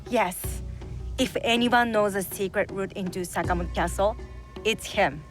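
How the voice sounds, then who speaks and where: An actress playing a composed, careful female advisor in a video game, measured and informative. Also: medium pitch, natural voice. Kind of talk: video game cutscene acting. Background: music.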